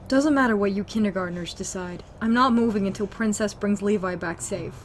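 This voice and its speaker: A woman's voice: medium pitch, a little fast, close to an everyday voice. A woman playing a sardonic, distrustful survivor in an audio drama, with a dismissive way of talking. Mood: scornful. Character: gruff, sardonic survivor who distrusts strangers. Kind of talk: amateur audio drama acting. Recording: faint background noise.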